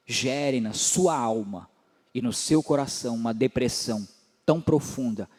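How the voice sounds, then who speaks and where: A man in his forties preaching a sermon as pastor, building to emphatic rises and dropping low again. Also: a little mellow. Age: 40s. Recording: long hall reverb.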